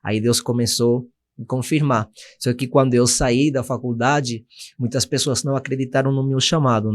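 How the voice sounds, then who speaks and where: A young man from Venezuela talks in Portuguese with a clear Venezuelan Spanish accent, earnest and steady. His voice sits at a medium pitch, and he sometimes hesitates while he reaches for a word in his second language. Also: moderate pace. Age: 20s. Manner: earnest, searching for Portuguese words. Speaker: missionary guest. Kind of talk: podcast testimony.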